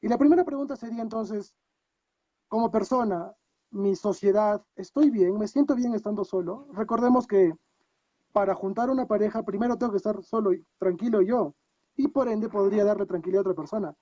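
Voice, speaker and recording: A man's voice: high-pitched, brisk, dark tone, no echo, dull audio. A man with a Peruvian accent, a guest on a video call, talking reflectively and asking himself many rhetorical questions. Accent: Peruvian Spanish. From Peru. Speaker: guest coach. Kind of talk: casual interview talk.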